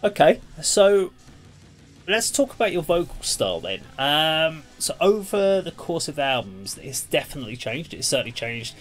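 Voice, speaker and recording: A man's voice: a little high, big pitch swings, normal speed, a bit bright. A man in his thirties hosting a casual music interview over a video call, easing hesitantly into his questions. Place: indoors in a room, on a video call.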